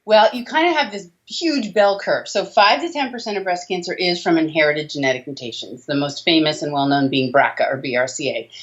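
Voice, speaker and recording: A woman's voice: deep voice, a little fast, hardly any pauses. A middle-aged woman explaining things as an interviewed doctor, emphatic and fond of rhetorical questions. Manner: emphatic, rhetorical questions with tag checks like "okay?" and "right?". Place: small indoor room. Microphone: video call, computer at desk distance. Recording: dull lo-fi video call audio.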